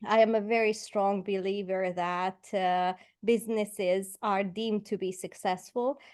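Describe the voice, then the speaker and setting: A woman's voice: mid-range voice, steady tone, a little slow, darkish tone, smooth. A woman in her forties from Hungary with a Hungarian accent, a guest entrepreneur in a podcast interview, speaking with many fillers and restarts. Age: 40s.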